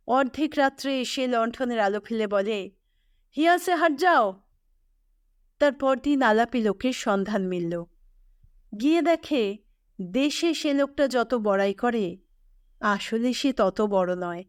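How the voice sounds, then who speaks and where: Unhurried, higher-pitched woman storyteller. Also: animated, clear, resonant tone, dry recording, clean studio sound. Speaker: audio story narrator. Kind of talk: scripted literary story narration.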